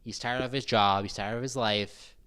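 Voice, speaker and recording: A man's voice: mid-range voice, fairly even intonation, normal speed, a bit bright, smooth. A man co-hosting a movie podcast, skeptical and analytical as he argues his point. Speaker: podcast co-host. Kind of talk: casual movie critique chat.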